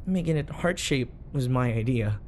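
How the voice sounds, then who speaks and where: A man's voice: medium pitch, animated, normal speed, darkish tone, slight background noise, close to an everyday voice. A man playing an awkward, eager, obsessive bodyguard sidekick who rambles and corrects himself in an audio roleplay. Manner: rambling, flustered, self-correcting.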